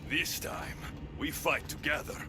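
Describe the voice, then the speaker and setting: An actor playing a calm, loyal Spartan warrior companion in a video game. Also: male voice, a little high, unhurried, music in the background, lightly acted. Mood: warm, loyal. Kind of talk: dramatic video game acting.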